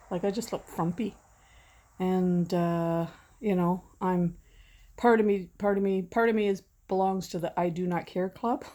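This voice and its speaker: A woman in her sixties chatting casually from behind a camera at home, rambling and self-deprecating, her voice going hoarse. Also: fairly low pitch, mellow. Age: 60s. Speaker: vlogger. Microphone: camera mic, speaking from behind the camera.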